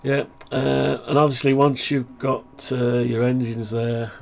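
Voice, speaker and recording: A man talking through a project update on his own software, rambling and thinking aloud, voice groggy from the flu. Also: mid-range voice, little pitch movement, measured pace. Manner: rambling, thinking aloud, frequent throat clearing. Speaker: hobbyist maker showing his own software. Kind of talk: casual screen-share project update.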